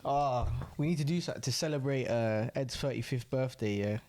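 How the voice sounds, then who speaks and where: Brief: man, podcast host, rapid and teasing, keeps things moving. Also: mid-range voice, a little mellow. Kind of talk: casual podcast chat.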